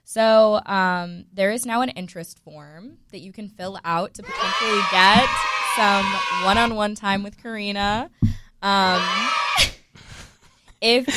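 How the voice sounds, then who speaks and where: A woman's voice: medium pitch, fairly bright tone, slight background noise. A woman guest on a radio show, chatting casually in a playful, mock-promotional way.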